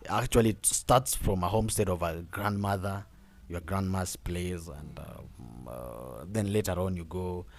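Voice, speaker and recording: A man's voice: low voice, a little slow, vocal fry. A young Kenyan man hosting a casual podcast, talking with many fillers and repeated words and laughing often. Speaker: podcast host.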